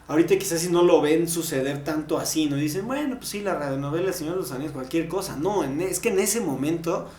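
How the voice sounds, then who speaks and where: A man's voice: a little high, fast, hardly any pauses, darkish tone, a little hiss or hum. A Mexican man co-hosting a podcast, chatting casually and explaining at length with bits of slang. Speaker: podcast co-host. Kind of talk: casual panel discussion. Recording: roomy, echoing recording.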